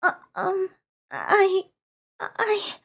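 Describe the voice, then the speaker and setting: A woman voicing a high-pitched, timid young part-timer. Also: wildly swooping pitch, extremely slow, gravelly, noisy background, exaggerated voice. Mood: flustered. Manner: meek, hesitant, stammering when flustered. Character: timid, hardworking young part-timer who dreams of designing. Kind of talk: scripted first-person manga dub narration.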